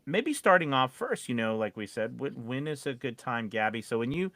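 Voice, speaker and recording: A man's voice: medium pitch, sing-song, normal speed, a bit bright, no room sound. A man who is a voice actor co-hosting a podcast, chatting casually and rambling, with playful repetition of words. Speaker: podcast co-host. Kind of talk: casual co-hosted chat.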